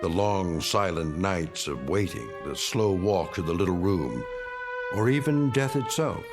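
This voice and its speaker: An actor playing the grave narrator of an eerie radio anthology, dramatic and deliberate in delivery. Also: male voice, sits low, expressive, music in the background. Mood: ominous.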